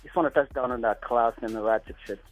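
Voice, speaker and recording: A man's voice: medium pitch, fairly even intonation, quick pace. A man from South Sudan calling in to a radio talk show, speaking earnestly and repeating himself often. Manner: earnest, repeats himself, many "you know what I mean". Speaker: caller. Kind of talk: phoned-in radio call. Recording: lo-fi phone line with faint music.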